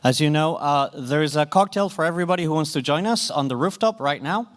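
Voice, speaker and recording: A man's voice: on the high side, lively intonation, fast talker, soft background music. A man with a Swedish accent closing a conference, informal and grateful, with light jokes. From Sweden. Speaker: event host closing a conference. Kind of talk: closing remarks. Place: conference pavilion hall.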